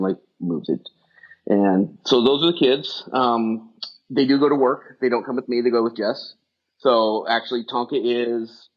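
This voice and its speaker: A middle-aged man with a husky, slightly rough voice, talking fast in long rambling run-ons. He chats casually as a podcast guest. The audio is dull and lo-fi. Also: mid-range voice. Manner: rapid, rambling run-on talk. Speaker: guest, firefighter rehab specialist.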